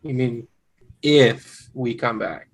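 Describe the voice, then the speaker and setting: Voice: medium pitch, slow pace. Someone playing a skeptical, frustrated young husband in a conversational table read over a video call. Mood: reluctant.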